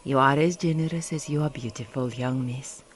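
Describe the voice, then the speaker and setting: A woman acting in a gothic radio drama as an ingratiating, ominous mourning peddler with halting, old-world phrasing. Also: extremely low pitch, a little hiss or hum. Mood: grateful flattery. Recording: loud barking dog behind the voice.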